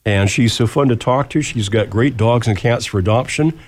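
A man's voice: mid-range voice, normal speed, slight background noise. A man hosting a radio show with casual banter and folksy, playful phrasing, on a studio microphone.

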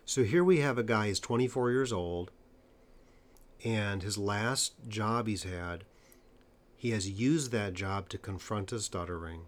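A man interviewing a guest in a conversational way, stressing points emphatically and slowing down for them. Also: fairly low pitch. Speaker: interviewer and stuttering coach.

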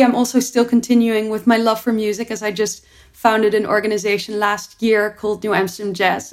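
Earnest young woman producer. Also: mid-range voice. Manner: earnest, reflective, with frequent restarts. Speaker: producer and entrepreneur. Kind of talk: personal interview answers.

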